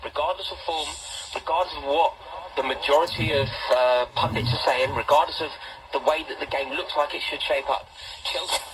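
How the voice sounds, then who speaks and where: A man's voice: a little high, steady tone, fairly quick. A male sports broadcaster talking football, heard over noisy radio reception through a small speaker. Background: loud static and background mush.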